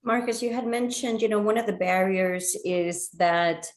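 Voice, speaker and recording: A woman's voice: mid-range voice, normal speed. A woman asking a presenter long, winding questions on a video call, with many "you know"s along the way. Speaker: panel questioner.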